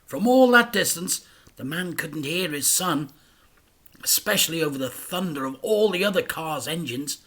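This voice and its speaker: A man, a teacher, reading a children's story aloud, shifting between hushed whispers and loud acted-out crash sounds. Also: a little high, expressive, moderate pace. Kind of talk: dramatic storybook read-aloud.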